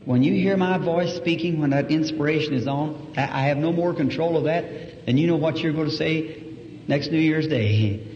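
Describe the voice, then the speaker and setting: A man preaching a sermon in a church, humble and folksy, soft-spoken, with a slightly hoarse voice. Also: a little high, normal speed. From United States. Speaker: evangelist preacher. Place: church auditorium. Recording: old echoey hall recording, dull and hissy.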